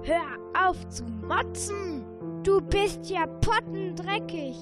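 A woman's voice: very high-pitched, lively intonation, slow pace, loud music behind the voice, exaggerated voice. A young female performer playing a grumbling, skeptical worm who scolds, in a children's radio play. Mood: scolding.

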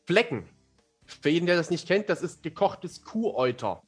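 A man talks casually as a podcast guest. His voice is on the high side for a man, and his manner is matter-of-fact and self-deprecating. Music can be heard behind him. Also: very animated, normal speed, slightly rough. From Germany. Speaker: podcast guest, fantasy author. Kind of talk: casual interview chat.

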